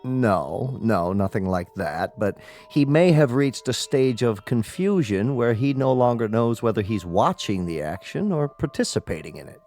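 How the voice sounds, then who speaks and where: An actor in a radio drama playing a gravely polite family doctor who speaks in a deliberate, professorial way. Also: male voice, mid-range voice, lively intonation, a little mellow, faint music behind, natural voice. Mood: grave, explanatory.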